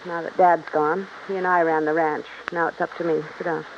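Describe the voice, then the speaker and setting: A woman's voice: deep voice, little pitch movement, a little fast. A woman playing a grieving, stubborn young ranch heiress in a 1950s radio drama, pointed and resigned in manner. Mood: strained, stubborn. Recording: muffled, hissy old radio recording.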